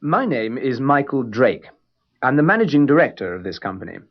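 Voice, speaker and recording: A company boss who interviews an applicant in a slow, husky, deliberate voice. His pitch moves a lot as he asks each question. The dull, lo-fi sound is that of an old course tape. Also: big pitch swings. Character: male managing director interviewing a job applicant. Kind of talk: scripted course dialogue.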